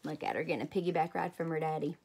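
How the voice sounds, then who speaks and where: A woman reads a children's story aloud like a teacher at storytime. Her delivery is expressive, narrating for young listeners. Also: low voice, racing, gravelly, a little hiss or hum.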